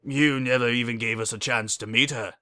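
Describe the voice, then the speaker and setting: A man's voice: mid-range voice, fairly even intonation, brisk, pushed and bright, dry recording, studio quality, close to an everyday voice. A man voicing an apologetic middle-aged noble unicorn father in a storybook.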